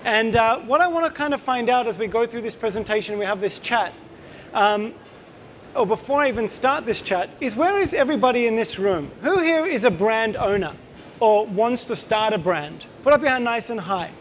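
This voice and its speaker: A man's voice: very high voice, expressive, normal speed, slight background noise. A man in his thirties with an Australian accent, giving a casual, joking conference talk as a company co-founder and quizzing the room. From Israel. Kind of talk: conference presentation to a live audience.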